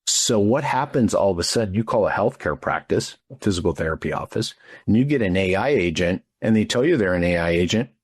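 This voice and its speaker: Blunt man in his fifties, lower-pitched, husky. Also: expressive, fairly quick, dry recording. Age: 50s. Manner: blunt, informal, punctuates points with "right?" and "dude". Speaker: interview guest, front desk trainer. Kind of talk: casual, animated opinion talk.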